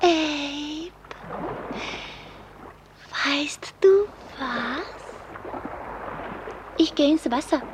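A high, girlish young woman's voice, speaking slowly and theatrically as a vain, flighty, easily scared rich girl in a radio drama. Clear noise and ambience surround her. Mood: dreamy, playful. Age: young adult. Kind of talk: German radio play acting. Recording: noisy radio play with outdoor ambience.

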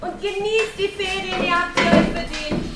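An actress playing a kindly schoolteacher addressing pupils in a German children's radio play. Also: female voice, very high voice, normal speed, very noisy. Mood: cheerful. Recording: echoey room, off-mic.

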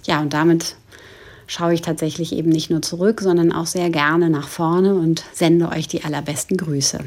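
A woman giving a reflective spoken message in a measured, formal way, in long winding sentences. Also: low voice, fairly even intonation, a little mellow. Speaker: guest philosopher sending an audio message.

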